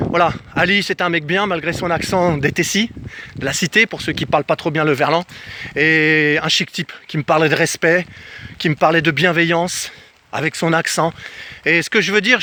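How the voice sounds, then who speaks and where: A man from France telling a casual personal travel story to camera in an animated way, acting out bits of dialogue as he goes. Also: on the high side, fairly quick. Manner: animated, acts out reported dialogue. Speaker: travel vlogger. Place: outdoors in the countryside.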